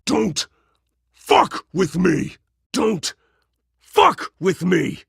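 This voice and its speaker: An actor playing a menacing, furious interrogator in a video game, with a rough, raspy, threatening delivery. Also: male voice, sits high, wildly swooping pitch, very slow, dry recording, studio quality, full character voice. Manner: threatening, forceful. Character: menacing, furious male interrogator with short patience.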